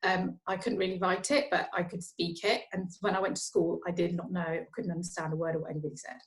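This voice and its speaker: A woman's voice: on the low side, fairly even intonation, fast, rough recording. A woman born and raised in Norway, a finance professional chatting as an interview guest, often repeating words as she restarts her sentences. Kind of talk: casual interview conversation.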